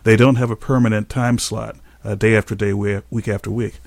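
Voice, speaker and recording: A man speaking as an expert host in a measured, explanatory way. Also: on the low side, little pitch movement, creaky, a little mellow, dry recording. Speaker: aviation safety expert and podcast host. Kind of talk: scripted narration and expert interview answers.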